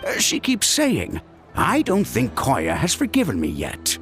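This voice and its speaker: An actor playing a caring middle-aged uncle who gently scolds his niece in a video game. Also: fairly high pitch, unhurried, heavily acted. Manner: warm-hearted scolding, expressive. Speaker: game character. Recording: game audio with soft music.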